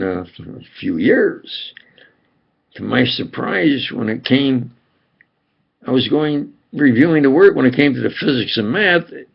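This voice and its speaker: An older man from upstate New York with a New York accent, telling his life story in a slow, halting monologue with sudden emphatic stresses. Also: medium pitch, vocal fry, muffled recording. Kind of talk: rambling personal monologue.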